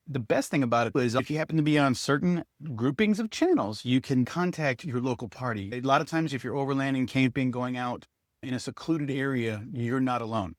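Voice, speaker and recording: A man's voice: medium pitch, no room sound, studio quality. A man giving a casual hands-on product review, speaking to viewers and thinking aloud as he demonstrates.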